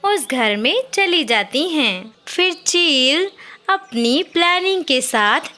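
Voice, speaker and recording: A woman's voice: high-pitched, lively intonation, takes it slowly, bright, forceful tone. A woman reading scripted narration for a children's cartoon story.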